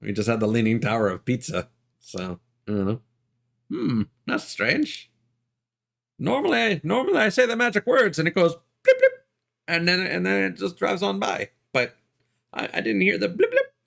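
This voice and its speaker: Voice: mid-range voice, sing-song, moderate pace, vocal fry, a bit bright. A game streamer giving casual, playful commentary and joking along with a co-host. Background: game music.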